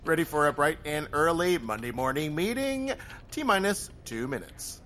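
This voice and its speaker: A man's voice: fairly high pitch, sing-song, moderate pace, a bit bright, slight background noise. A man playing a chirpy, corny office boss who nags with peppy politeness in an audio drama. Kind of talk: audio fiction acting.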